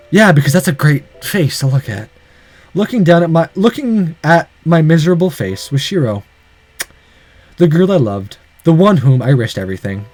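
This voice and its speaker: A man's voice: fairly high pitch, darkish tone. A man reading a visual novel aloud as dramatic narration, breaking off for sarcastic, profane casual asides.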